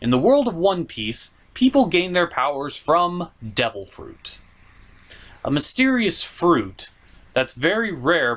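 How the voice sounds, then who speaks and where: A man's voice: mid-range voice, fairly bright tone. A man delivering scripted review commentary with joking asides and an emphatic, mock-exasperated manner. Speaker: reviewer hosting an anime review show.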